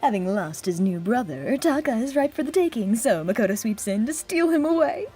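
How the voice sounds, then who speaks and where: A woman voicing a gushing, lovestruck teenage girl with a dark side, in a theatrical fan-dub delivery. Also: fairly high pitch, animated, a little fast, slightly rough, soft background music. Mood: gleeful. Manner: theatrical, swooping and teasing. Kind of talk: fan dub of an anime game.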